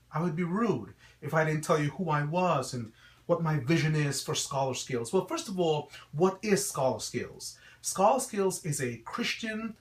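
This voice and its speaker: High-voiced, emphatic Guyanese man in echoey room. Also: fairly bright tone, recorded from a distance. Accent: slight Guyanese. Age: middle-aged. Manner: formal, emphatic preacher-like delivery. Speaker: school founder and reverend. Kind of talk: earnest promotional address to camera.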